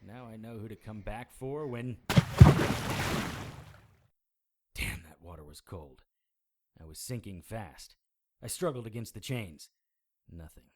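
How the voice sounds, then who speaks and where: A man's voice: fairly low pitch, expressive, moderate pace, slight background noise, natural voice. A man playing a wisecracking, defiant private investigator in an audio drama, with a cocky, sardonic delivery. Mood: wry narration. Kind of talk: audio drama acting with first-person noir narration.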